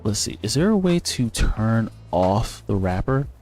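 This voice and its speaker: A man with a fairly low voice talks through a game as he plays, thinking aloud, trailing off and restarting his sentences. Faint music runs underneath. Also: very animated, a little slow, darkish tone. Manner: rambling, thinking aloud, self-correcting. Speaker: gaming streamer. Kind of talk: casual gameplay commentary.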